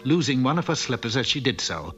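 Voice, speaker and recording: A man's voice: medium pitch, sing-song, fast, music in the background, lightly acted. A man playing a droll storybook narrator, reading scripted fairy-tale narration for a cartoon. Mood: mock-solemn. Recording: dull lo-fi old cartoon soundtrack.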